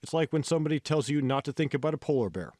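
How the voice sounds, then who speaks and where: A man's voice: fairly high pitch, animated, rapid-fire, darkish tone, dry recording, studio quality, natural voice. A man voicing an anxious, reluctant male gym beginner in an audiobook, complaining and hesitant. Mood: defensive.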